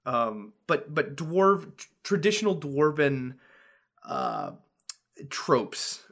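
Excitable man chatting on a podcast. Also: on the high side, slow, gravelly. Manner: enthusiastic, many fillers and restarts. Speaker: podcast co-host. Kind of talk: casual podcast chat.

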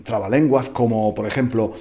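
A man's voice: medium pitch, steady tone, normal speed, mellow, muffled recording. A man hosting a podcast for language learners, telling a tall tale playfully and repeating phrases for emphasis. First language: Spanish. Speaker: podcast host. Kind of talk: storytelling to learners.

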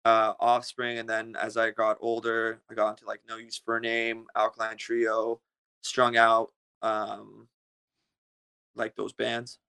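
A man in his thirties, originally from Russia, answers a podcast interviewer's questions in a casual, halting way, with frequent fillers and restarts. His voice is gravelly and bright and stays fairly flat in pitch, yet it comes through smooth and clear. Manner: hesitant, many fillers and restarts. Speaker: guest musician.

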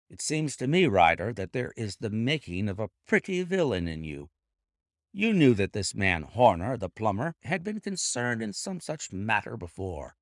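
A man reading aloud and voicing a calm, stern, commanding male detective in a cool, cutting, measured way. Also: fairly low pitch, creaky, no echo, clean studio sound, heavily acted. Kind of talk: audiobook character voice.

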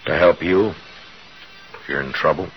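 Very deep-voiced frontier lawman. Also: dull audio, soft background music. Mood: probing, steady. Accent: American. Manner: terse, measured, grave. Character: stern, steady frontier lawman, grown man. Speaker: actor in a radio Western drama. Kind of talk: 1950s radio drama acting. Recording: hissy 1950s radio recording.

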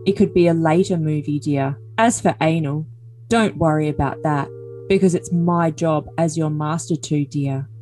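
A woman's voice: sits low, normal speed, mellow, faint music behind, lightly acted. A woman playing a possessive, earnest young boyfriend with stilted endearments in a dramatic comedic reading of text messages. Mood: solemn, possessive.

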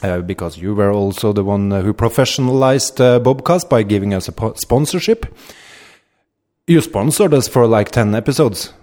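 Teasing Norwegian-accented podcast host. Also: male voice, fairly low pitch, lively intonation, normal speed, darkish tone. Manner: playful, teasing. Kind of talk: casual chat. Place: chocolate factory.

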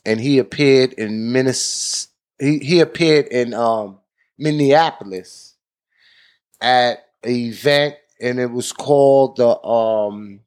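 A man hosting a podcast, giving deliberate commentary in a preaching tone. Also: medium pitch, darkish tone. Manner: deliberate, preaching tone, frequent "you know". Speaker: podcast host.

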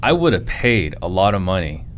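A male host with a low voice, asking questions in a loose, casual interview. He drifts into rambling tangents and owns up that he is ranting. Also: sing-song, normal speed, a little hiss or hum. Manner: rambling, self-aware ranting. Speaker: podcast host and real estate wholesaler.

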